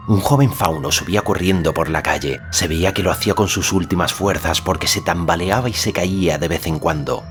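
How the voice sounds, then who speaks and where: A man narrating a fantasy story as a scripted audiobook, measured and solemn in delivery. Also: low voice, a bit bright.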